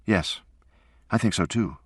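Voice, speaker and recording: A man voicing a calm, matter-of-fact woman scientist in an audiobook, speaking in an even, almost soothing way, with his voice lifted a little. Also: lowish voice, a little slow, husky, no room sound, clean studio sound, pitched above the performer's own voice.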